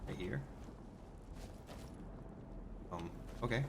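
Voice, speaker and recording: A man's voice: fairly low pitch, lively intonation, a little fast, vocal fry, heavy background noise. A man playing a mild, grumbling adventurer in a game, muttering his thoughts in a hesitant, conversational way. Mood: musing. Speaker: role-playing game player. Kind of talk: in-character improvised gameplay narration.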